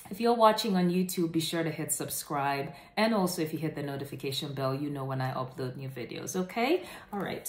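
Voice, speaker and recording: Echoey, lower-pitched woman reading aloud. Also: darkish tone. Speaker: host reading children's stories aloud. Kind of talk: animated read-aloud storytelling to camera. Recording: echoey room.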